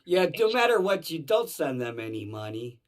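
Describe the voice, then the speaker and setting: Lively, blunt man chatting. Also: a little high, normal speed. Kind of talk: casual, sympathetic chat.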